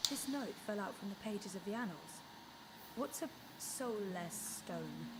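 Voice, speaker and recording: A woman's voice: mid-range voice, expressive, measured pace, heavy background noise, natural voice. An actress playing an earnest, curious young woman adventurer in a video game, speaking casually and naturally.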